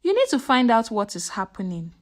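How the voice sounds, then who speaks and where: A woman storyteller plays an elderly villager in a folktale. She is suspicious and concerned, speaking urgently in a fairly high, lively voice. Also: a little fast.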